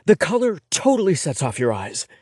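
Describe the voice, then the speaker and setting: A man voicing a gentle, indulgent young boyfriend who talks in a soft, reassuring way. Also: a little high, wildly swooping pitch, fairly quick, breathy edge, dry recording, studio quality, pitched above the performer's own voice, exaggerated voice. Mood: tender.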